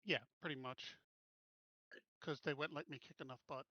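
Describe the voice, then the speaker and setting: Bright-voiced blunt man, casual. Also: on the high side, steady tone, moderate pace, no echo, lo-fi sound. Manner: blunt, jokey, informal. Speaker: player in a tabletop game group. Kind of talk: casual chat.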